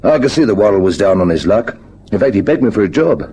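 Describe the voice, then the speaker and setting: Deep, easygoing shop boss. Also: male voice, big pitch swings, quick pace, dark tone, husky, exaggerated voice. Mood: frank, obliging. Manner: casual, matter-of-fact. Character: easygoing male electrical shop boss, frank and obliging. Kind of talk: vintage radio drama acting. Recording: vintage radio recording with faint noise.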